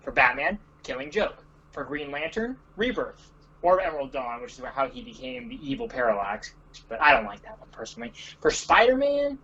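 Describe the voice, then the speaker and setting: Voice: mid-range voice, a bit bright, husky. A co-host chatting casually in an offhand review discussion. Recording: dull, slightly noisy home recording.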